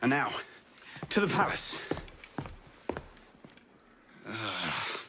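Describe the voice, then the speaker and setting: Wry, lively young French rogue. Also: male voice, on the low side, slow, vocal fry, a little hiss or hum, full character voice. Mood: self-satisfied. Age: young adult. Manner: wry, flippant. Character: cheeky, charming young Frenchman, smooth-talking rogue. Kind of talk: video game cutscene acting. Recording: orchestral music behind the voice.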